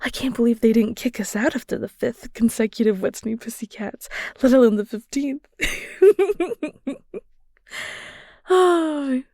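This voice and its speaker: A woman acting as a flustered, playful young best friend with a high voice full of fry. She chats and teases in a giggly, stammering way, dipping into breathiness, speaking straight to the listener in a close, dry audio roleplay. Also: measured pace, mellow, dry recording. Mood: giddy, fond. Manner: giggly, stammering, teasing, chatty. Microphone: close studio-style mic, dry.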